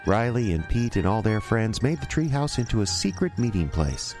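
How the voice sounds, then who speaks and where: A man's voice: fairly low pitch, very animated, moderate pace, darkish tone. A man acting as a folksy storyteller, narrating an audio drama directly to listeners. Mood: folksy, wistful. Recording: music behind.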